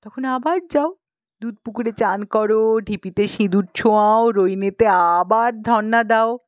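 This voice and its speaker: A woman's voice: fairly high pitch, dry recording, dull audio. A woman voicing a pious, chatty young village matron in an audiobook, explaining things knowingly in colloquial rural Bengali speech with exclamations. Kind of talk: audiobook character dialogue.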